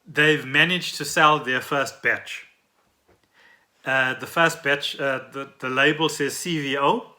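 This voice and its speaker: A man's voice: mid-range voice, steady tone, slow pace, bright, forceful tone. A South African man hosting a podcast, explaining trending news in a conversational way and often checking in with the listener. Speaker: podcast host.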